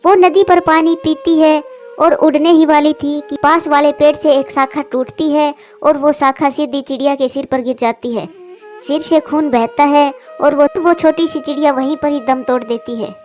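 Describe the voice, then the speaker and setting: A woman's voice: high-pitched, steady tone, moderate pace, a little mellow, faint music behind. A woman narrator reading a scripted children's cartoon story.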